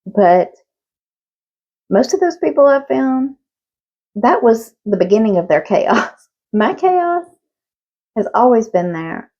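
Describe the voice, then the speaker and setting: A woman's voice: a little high, sing-song, takes it slowly, long pauses, a little mellow, muffled recording. A woman in her fifties with a Southern US accent, chatting frankly to camera and laughing between thoughts. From Southern United States. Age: 50s. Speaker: vlogger. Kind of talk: casual talk to camera.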